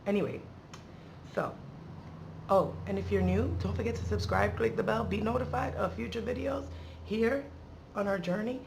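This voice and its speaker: Playful woman vlogger with a creaky voice. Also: mid-range voice, moderate pace, pauses often, background noise. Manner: playful, slangy, rambling with asides. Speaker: beauty vlogger and channel host. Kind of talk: casual chatty unboxing vlog.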